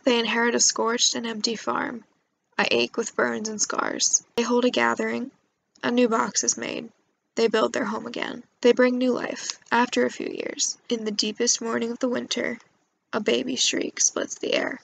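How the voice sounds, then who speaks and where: A woman's voice: a little high, flat delivery, normal speed, vocal fry, a bit bright, poor audio. A young woman, a student storyteller, reading a scripted poetic monologue. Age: young adult.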